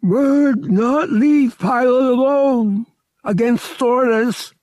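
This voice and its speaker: A man voicing a big, stubborn catlike alien guard who speaks slowly and emphatically in clipped, broken sentences. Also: very high-pitched, a little mellow, no echo, pitched above the performer's own voice, exaggerated voice. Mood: stern, insistent.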